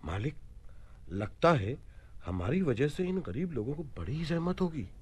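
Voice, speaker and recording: An actor playing a timid, servile slave with a hesitant, deferential manner in a radio play. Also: male voice, fairly high pitch, sing-song, unhurried, husky, full character voice. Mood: nervous, deferential. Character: timid, servile male slave Masrur, nervously stammering. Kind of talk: Hindi radio play acting.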